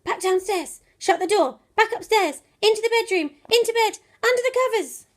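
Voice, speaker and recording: A woman reading a children's storybook aloud in an expressive, animated way, drawing out her words and playing up the sound effects. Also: very high-pitched, normal speed. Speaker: primary school teacher reading a bedtime story.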